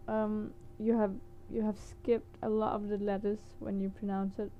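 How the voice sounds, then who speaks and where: A woman's voice: medium pitch, flat delivery, normal speed, phone-quality sound, a little hiss or hum. A woman from Denmark with a Danish accent, teaching words in a casual, hesitant vlog with many fillers and small laughs. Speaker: language teacher vlogger.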